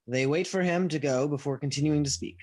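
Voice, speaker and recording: Male narrator, slightly high pitch, scripted stage directions, table reading. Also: fairly quick, darkish tone.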